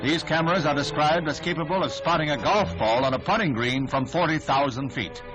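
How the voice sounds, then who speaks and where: A man's voice: a little high, brisk. An American man reading scripted 1960s newsreel narration in a formal, dramatic, declamatory manner. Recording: dull vintage 1960s newsreel soundtrack. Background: loud orchestral newsreel music.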